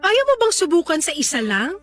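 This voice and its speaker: Very high female voice, Filipino accent, actress as scheming pleading girlfriend, animated, radio drama, faint music behind. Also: a little fast. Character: pleading, scheming first girlfriend in a polyamorous household. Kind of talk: Tagalog radio drama acting.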